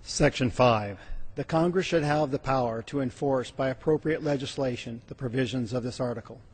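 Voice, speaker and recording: A man, a member of Congress, with a husky voice, formally reading a legal document aloud. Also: mid-range voice, moderate pace, a little mellow. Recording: reverberant large legislative chamber.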